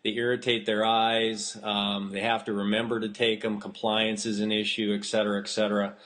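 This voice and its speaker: Man, fairly low voice, call moderator, posing questions, professional discussion. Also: level tone, fairly quick, fairly bright tone.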